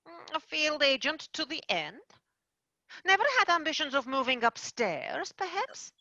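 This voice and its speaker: A woman playing a formal, measured manager of tooth fairies, in an amateur radio play. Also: dry recording, lo-fi sound, heavily acted. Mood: formal, brisk. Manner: formal, measured, lively pitch. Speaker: manager firing an agent.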